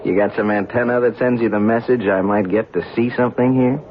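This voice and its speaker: A man's voice: medium pitch, steady tone, brisk, slight background noise, natural voice. An actor playing a skeptical, down-to-earth man in a radio drama, speaking in a blunt, colloquial way. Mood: wary, casual. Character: skeptical, down-to-earth man investigating strange phenomena, narrating. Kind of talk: radio drama acting and first-person narration. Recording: phone-like old radio recording, music behind.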